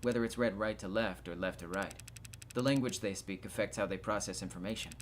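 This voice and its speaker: A man's voice: fairly low pitch, fairly quick, slight background noise, lightly acted. A man voicing a nervous, evasive tech worker in video game dialogue, explaining himself in a hesitant, defensive manner. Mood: explanatory.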